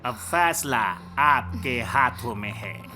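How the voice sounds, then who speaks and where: A slow, scheming, swooping court villain. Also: male voice, medium pitch, lively intonation, sharp tone, soft background music, heavily acted. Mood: sly, menacing. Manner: smug, insinuating, theatrical. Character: scheming, coercive male court villain threatening a princess. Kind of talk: cartoon dub acting.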